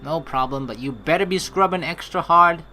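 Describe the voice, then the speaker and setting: A man doing game commentary as a let's player, reading narration and lines aloud in an animated, rambling, informal way. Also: on the high side, normal speed, a little hiss or hum.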